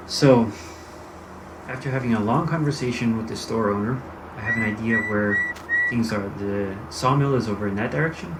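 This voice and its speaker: Low-voiced man musing over loud engine noise. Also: moderate pace. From United States. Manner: musing, thinking aloud. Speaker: gaming channel host. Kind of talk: role-play game commentary. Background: game engine and vehicle sounds.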